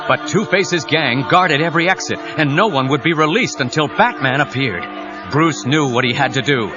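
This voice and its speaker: A man's voice: fairly high pitch, moderate pace, natural voice. A man narrating an audio drama in an emphatic, suspenseful storytelling style. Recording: dull 1990s cassette audio with music.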